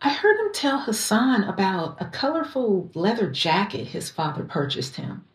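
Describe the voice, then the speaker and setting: An actress playing a seductive, scheming rich wife in a theatrical, coaxing audio drama style. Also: female voice, medium pitch, sing-song, normal speed, lightly acted. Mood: coaxing, sweet. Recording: roomy echo, faint music behind.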